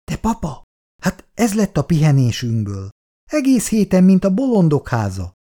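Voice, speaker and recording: Man doing fretful old wife, higher voice, lively nagging, mellow tone, for an audiobook. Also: heavily acted. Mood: reproachful. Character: nagging elderly wife, worried, reproachful.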